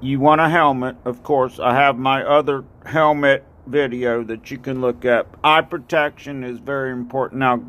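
An older man from North Carolina talks outdoors on a porch into a phone at arm's length, rambling and halting with many fillers and repeated thank-yous. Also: mid-range voice, fairly even intonation, fairly bright tone. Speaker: ski racer and video maker. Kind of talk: casual home video. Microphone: phone camera at arm's length.

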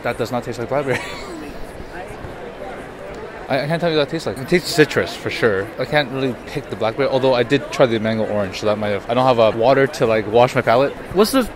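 A man's voice: mid-range voice, animated, quick pace. A man in his thirties hosting a casual tasting video, talking through each bite as he goes. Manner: chatty, reacts aloud while tasting. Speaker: host. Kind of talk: casual food-tasting street interview. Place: busy indoor expo hall. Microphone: handheld mic. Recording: crowd chatter and hall noise behind.

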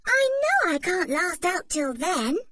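A woman reading aloud, voicing an eager, excitable little girl in a children's storybook. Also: very high voice, slow, dry recording, voice pushed up, exaggerated voice.

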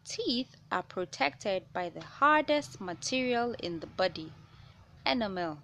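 Slow-paced woman reading to children. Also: medium pitch, expressive, a bit bright. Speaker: children's read-aloud host. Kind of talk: reading a children's science picture book aloud. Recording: noticeable background noise.

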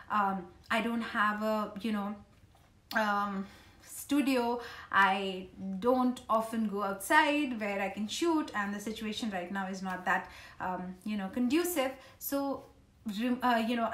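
A woman's voice: mid-range voice, measured pace, fairly bright tone. A woman in her thirties with an Indian accent, chatting informally and ramblingly to camera as a vlogger in her living room. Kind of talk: casual chit-chat to camera. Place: small living room at home, indoors. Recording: roomy home living room echo.

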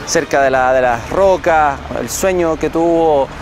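A man's voice: fairly high pitch, measured pace, over music. A glider pilot in his thirties speaking lyrically and passionately in a short interview. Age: 30s.

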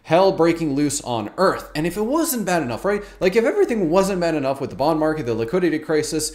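A man's voice: a little high, big pitch swings, fast talker, darkish tone. A man in his thirties giving emphatic, colloquial market commentary to camera as a YouTube host, often repeating words for stress.

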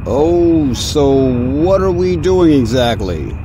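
A man's voice: on the high side, big pitch swings, slow, background noise. An actor playing a bewildered, hesitant airman who stammers, in a satirical radio play. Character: bewildered, hesitant airman doubting his mission.

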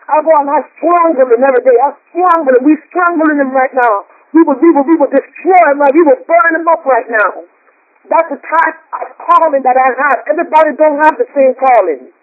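A woman with a Puerto Rican accent delivers a fervent, repetitive sermon, stumbling over restarts as she builds. Her voice sits very high and comes through a narrow, tinny phone line. There is no room sound around it at all. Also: brisk, dry recording. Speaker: preacher on a prayer line.

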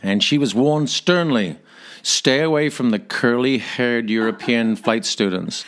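An older man from Northern Ireland tells his stories with an easy, humorous warmth. His accent is Irish, softened by years abroad. He often restarts his sentences, repeating a word before carrying on. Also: medium pitch, a little slow. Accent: Northern Irish, mixed with an international accent. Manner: chatty and humorous, repeats words as he starts a thought. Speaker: podcast guest. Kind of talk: conversational storytelling.

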